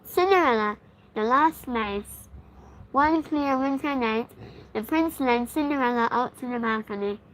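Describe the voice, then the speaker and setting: A woman's voice: sits high, lively intonation, unhurried, fairly bright tone. A woman hosting a read-aloud and reading a children's story. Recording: clearly noisy background.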